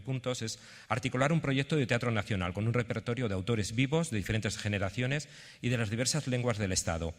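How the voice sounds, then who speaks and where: Spanish man presenting in a reverberant hall. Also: on the low side. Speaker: theatre representative presenting a project. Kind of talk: conference presentation, partly read out. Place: indoor conference venue.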